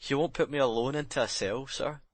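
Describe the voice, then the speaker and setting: A man's voice: medium pitch, fairly quick, no echo, natural voice. A man playing a jealous, haunted sailor giving a bitter, intense murder confession in a dramatic audiobook reading. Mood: haunted, pleading. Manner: intense dramatic confession, plain working-man's phrasing.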